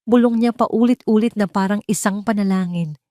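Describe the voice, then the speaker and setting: A woman with a Filipino accent reading a scripted dramatic story in a measured, solemn manner. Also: mid-range voice, dark tone, dry recording, studio quality. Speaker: story narrator.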